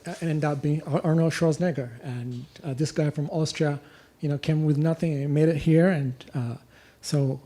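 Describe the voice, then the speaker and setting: A young man from Ethiopia asking a long question at a lecture, halting and full of restarts. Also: on the high side, mellow. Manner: halting, many fillers and restarts. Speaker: audience questioner. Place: lecture hall.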